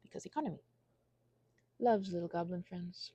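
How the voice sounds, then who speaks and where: A woman in her thirties giving a rambling, confessional ASMR monologue in a halting, earnest, self-deprecating way, with a husky, creaky voice. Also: fairly low pitch, dark tone, no echo. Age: 30s. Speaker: ASMR channel creator.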